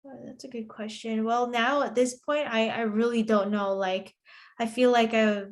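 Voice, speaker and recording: A woman's voice: a little high, fairly even intonation, moderate pace. A woman co-hosting a podcast, talking casually and without a script. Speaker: podcast co-host. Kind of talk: casual unscripted podcast chat.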